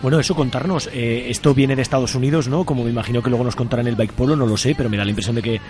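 A man's voice: mid-range voice, fairly even intonation, racing, darkish tone. A man with a Spanish accent from Spain, hosting a casual radio interview in a colloquial, jokey way. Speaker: radio show host.

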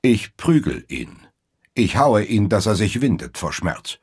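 A man's voice: on the low side, big pitch swings, unhurried, darkish tone, husky, exaggerated voice. An actor playing a cold, domineering middle-aged stepfather in a German radio drama, speaking in a controlled, commanding way. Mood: menacing. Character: cold, menacing, domineering stepfather in Victorian England.

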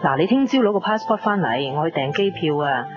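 A married woman who runs a shop, with a lowish voice and a Hong Kong Cantonese accent. She gives instructions in a matter-of-fact radio drama manner. The recording is dull, with clear music underneath. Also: animated, a little fast. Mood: brisk, instructing. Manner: matter-of-fact, instructing, mixes in English words. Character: businesslike married woman boss of a boutique. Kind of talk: 1990s radio drama acting.

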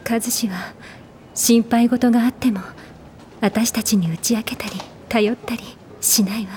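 An actress playing a troubled, caring elder sister confiding in audio drama dialogue. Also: female voice, a little high, steady tone, measured pace, a little mellow, slight background noise, natural voice. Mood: hesitant, confiding.

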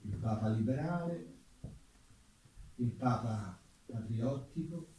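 A man's voice: mid-range voice, lively intonation, takes it slowly, warm tone, slight background noise. A man with a Roman Italian accent telling history as an emphatic theatrical monologue. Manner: emphatic, repetitive storytelling. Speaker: stage actor and storyteller. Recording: roomy stage, mic at a distance.

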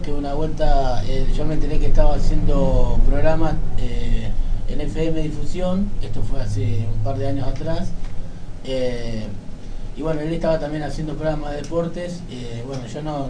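An Argentine man giving casual interview answers as a radio sports commentator, hesitant, repeating words and often adding verbal tags. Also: on the high side. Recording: noisy room, distant microphone.

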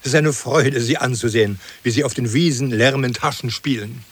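An actor playing an earnest, pedantic gentleman who talks politely and qualifies himself, in an absurd comic radio play. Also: medium pitch, fairly quick, husky, close to an everyday voice. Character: earnest, pedantic gentleman praising country life.

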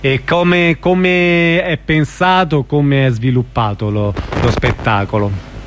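A man's voice: medium pitch, fairly even intonation, slow. A man hosting a conversational radio interview, asking questions in an informal way.

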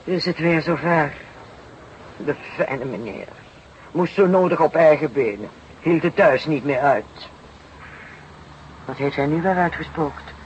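A performer playing a weary, aggrieved working-class mother of seven in a Dutch radio drama, plaintive and hesitant, with a husky, breathy voice. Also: mid-range voice, measured pace, pauses often, muffled recording, slight background noise, natural voice. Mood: bitter, aggrieved.

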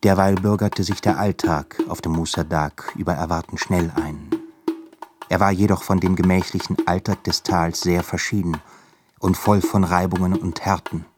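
A man performing as a measured, literary narrator in a scripted radio drama. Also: sits low, steady tone, lightly acted. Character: measured male narrator of an epic novel. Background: prominent music.

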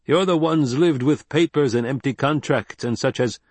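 A man voicing a stern, proud leader of a desert tribe, grave and forceful. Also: medium pitch, normal speed, husky, no room sound, pitched above the performer's own voice, lightly acted.

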